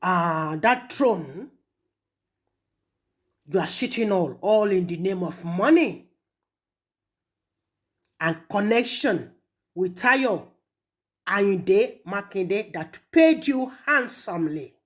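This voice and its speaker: A woman with a Nigerian Yoruba accent, speaking accusingly and emphatically in an impassioned public rebuke, with pointed pauses. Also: mid-range voice, expressive. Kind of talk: impassioned public rebuke, switching between languages.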